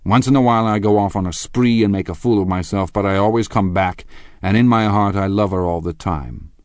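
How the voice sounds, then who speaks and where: A man voicing an angry, scornful husband in a storybook, forceful, accusing and emphatic. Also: on the low side, brisk, no echo, clean studio sound, natural voice. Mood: indignant, blustering.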